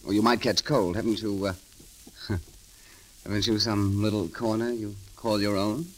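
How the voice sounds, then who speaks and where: An actor playing a witty, bold and romantic grand constable in an eloquent, theatrical 1930s radio style. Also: male voice, lowish voice, steady tone, moderate pace, natural voice, low-quality recording. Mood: tender, teasing.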